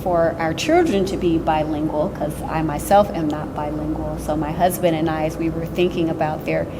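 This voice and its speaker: A middle-aged woman, a parent, answering interview questions in a measured, reflective way. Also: sits low, fairly even intonation, background noise.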